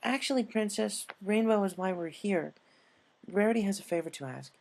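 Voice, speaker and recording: Man voicing a high, sensible young unicorn mare. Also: moderate pace, vocal fry, a little mellow. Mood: matter-of-fact. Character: sensible, studious young female unicorn pony.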